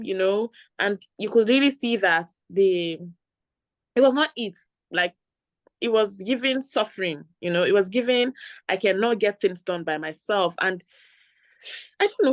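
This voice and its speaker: A woman's voice: on the low side, no echo. A woman from northern Nigeria with a Nigerian accent, a rights advocate speaking earnestly as a guest, often restarting her phrases. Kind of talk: conversational interview.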